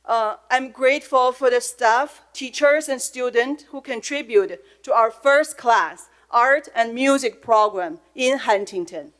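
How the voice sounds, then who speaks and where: A middle-aged woman with a Chinese accent addresses a school board from prepared notes. Her voice sits slightly high. She speaks earnestly, with feeling held close to the surface. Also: unhurried, few pauses, fairly bright tone. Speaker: public commenter at a school board meeting. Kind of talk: prepared public comment. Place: public meeting room.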